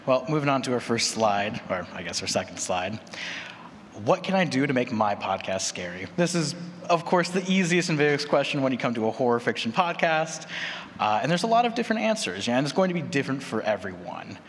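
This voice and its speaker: A man hosts a conference panel in a voice of average pitch. The hall adds a long reverb around his words, and faint room noise sits underneath. He speaks as a presenter guiding a session. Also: big pitch swings, fairly quick. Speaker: panel host.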